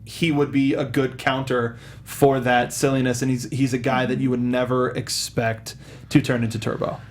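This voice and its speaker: A man's voice: mid-range voice, little pitch movement, normal speed. A man hosting a casual film discussion panel, chatty and given to acting out little asides. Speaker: podcast host. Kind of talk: casual panel chat about films.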